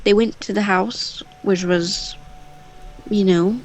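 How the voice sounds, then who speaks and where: A woman's voice: medium pitch, steady tone, slow pace, a little mellow, faint music behind, rough recording. A woman telling a story casually and off the cuff, rambling, with offhand asides. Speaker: storyteller on a gaming channel.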